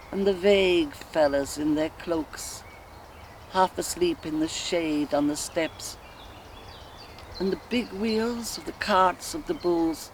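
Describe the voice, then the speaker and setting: An actress playing a sensual Irish woman lost in rapturous memory, in an expressive, musing theatrical soliloquy with an Irish accent. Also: deep voice. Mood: wistful, vivid. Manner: musing, expressive, slow and deliberate with sighs. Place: outdoors in countryside woodland. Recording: faint outdoor ambient noise. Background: faint birdsong and nature ambience.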